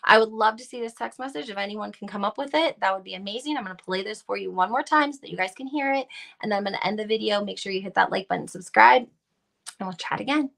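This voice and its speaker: A woman's voice: medium pitch, fast talker, gravelly, fairly bright tone. A woman hosting a gossip video, giving casual, opinionated commentary to viewers in an emphatic, informal way. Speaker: reality TV gossip video host.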